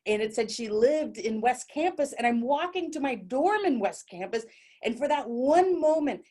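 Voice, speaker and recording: A woman's voice: fairly high pitch, lively intonation, moderate pace, dark tone. A middle-aged woman from Dallas telling a funny personal story on stage, chatty and self-mocking, full of likes and restarts. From Dallas, Texas. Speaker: solo performer. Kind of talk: comic storytelling monologue.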